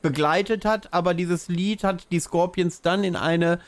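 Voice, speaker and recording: Podcast host, man with a fairly high voice, asks long questions full of fillers. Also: fairly even intonation, unhurried. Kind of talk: interviewing, casual talk.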